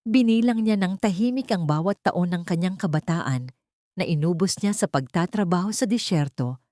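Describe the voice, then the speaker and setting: A woman reading a scripted dramatic love story as narrator, in a measured, solemn manner. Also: lowish voice, warm tone, no echo, clean studio sound.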